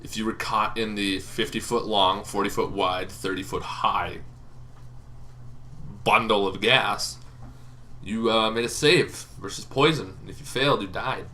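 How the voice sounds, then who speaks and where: A man's voice: on the low side, a little slow, pushed and bright, a little hiss or hum. A young man casually explaining tabletop game monsters, rambling with frequent ums and restarts. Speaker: tabletop game video host.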